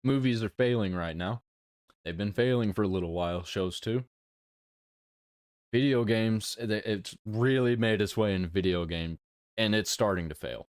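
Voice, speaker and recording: A man giving casual reaction commentary in a blunt, emphatic way, speaking in short, halting phrases. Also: fairly low pitch, no echo, clean studio sound. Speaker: reaction video host.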